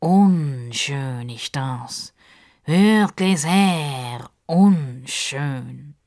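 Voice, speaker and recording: A woman's voice: a bass voice, animated, very slow, a little mellow, voice pushed down, heavily acted. A woman voicing a pompous, slightly huffy crocodile who drawls formally in a children's story. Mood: grave, offended. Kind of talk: children's radio story character acting.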